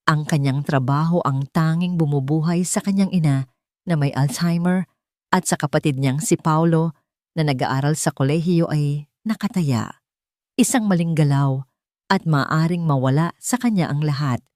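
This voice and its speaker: A woman narrating a scripted audio drama with measured, dramatic delivery. Her voice is lowish and mellow, recorded close and dry. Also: dry recording.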